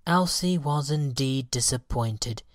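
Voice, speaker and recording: A woman's voice: extremely low pitch, slow pace, no room sound, clean studio sound. A woman reading a children's story aloud as scripted narration. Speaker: storybook reader.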